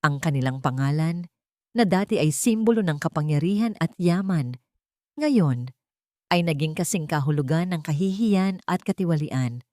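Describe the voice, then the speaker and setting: A woman's voice: low voice, lively intonation, moderate pace, dark tone, no room sound, studio quality. A woman with a Filipino accent reading a scripted, sentimental romantic drama as a storyteller.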